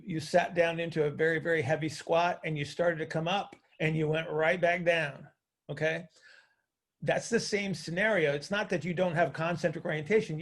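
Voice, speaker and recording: A man's voice: a little high, gravelly. A man coaching on a group call in a conversational, teacherly way, often checking understanding with questions and repeating phrases for emphasis.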